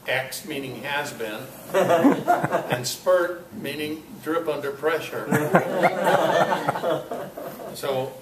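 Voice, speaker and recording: An older man giving an informal, halting talk to a club, with a self-deprecating, dryly humorous manner. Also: a little high. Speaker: guest speaker at a club meeting. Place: club meeting room, indoors. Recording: roomy, distant mic, noisy amateur recording.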